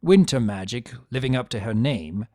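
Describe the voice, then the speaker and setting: A narrator giving an expressive dramatic reading of a scripted story. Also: mid-range voice, normal speed, no echo, clean studio sound. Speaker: narrator of a fan fiction reading. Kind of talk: dramatic scripted narration in first-person character.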